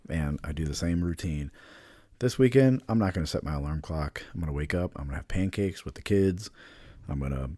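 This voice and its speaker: A man's voice: very deep voice, animated, a little fast, dark tone, no echo, studio quality. A younger man co-hosting a podcast, talking in a laid-back, casual way and often saying "dude" and "like.". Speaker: podcast co-host. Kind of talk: casual chat.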